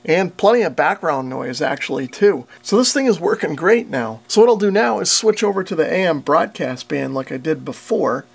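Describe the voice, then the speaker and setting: A man in his forties narrates a repair job in a casual way. His voice sits a little high for a man and has a clear gravelly creak. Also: lively intonation, moderate pace, hardly any pauses. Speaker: repair channel host.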